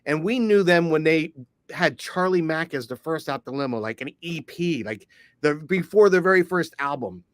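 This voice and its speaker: A man's voice: on the high side, lively intonation, dry recording, clean studio sound. Rambling middle-aged man from Philly. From Philadelphia. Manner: rambling storyteller, quick asides. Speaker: podcast co-host. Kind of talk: casual podcast chat.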